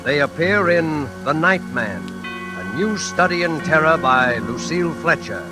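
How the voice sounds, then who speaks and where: A man announcing a 1940s radio suspense show in a formal, ominous manner, with deliberate dramatic pauses. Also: on the high side, lively intonation, rough recording. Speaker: announcer and host. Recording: old radio recording, loud music behind.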